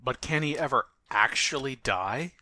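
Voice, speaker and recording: A man's voice: medium pitch, animated, a little slow, pushed and bright, slight background noise. A man playing a no-nonsense, curious investigator in a fan audio drama, speaking directly. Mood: puzzled.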